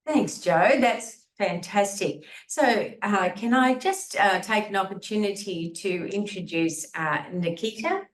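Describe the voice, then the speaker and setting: A woman's voice: medium pitch, measured pace, few pauses, reverberant. A woman leading a video meeting, introducing team members in an upbeat way. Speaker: course lead. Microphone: video call.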